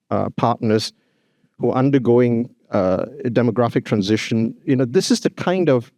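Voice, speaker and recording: A man's voice: mid-range voice, warm tone, no room sound. Earnest man giving a speech. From Singapore. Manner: earnest, emphatic, with frequent fillers like "you know" and "actually". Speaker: conference speaker giving an opening address. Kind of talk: formal public address. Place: hotel conference hall.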